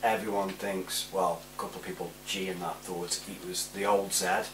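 Roomy, slightly distant home recording: man, forties, mid-pitched, informal and matey vlogger chat. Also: level tone, moderate pace. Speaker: amateur video presenter. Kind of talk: casual chatty shout-outs to camera. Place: small living room, indoors. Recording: roomy, distant mic with hum.

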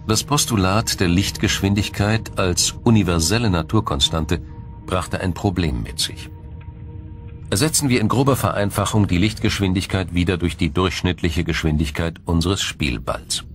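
A man reading a scripted science documentary in a measured, formal, explanatory manner. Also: low voice, soft background music. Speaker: documentary narrator.

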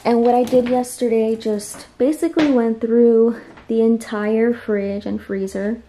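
A woman's voice: a little high, fairly even intonation, measured pace, warm tone, slight background noise. A young woman in her twenties chatting casually about groceries and meal prep in a small apartment, talking with lots of fillers. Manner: chatty, filler-heavy, upbeat. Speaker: lifestyle vlogger. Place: small apartment living room.

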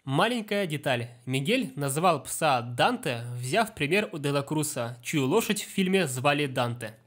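A man's voice: on the high side, lively intonation, a little slow, a bit bright. A man narrating a film review, enthusiastic and analytical, on a voice-over mic. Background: film music.

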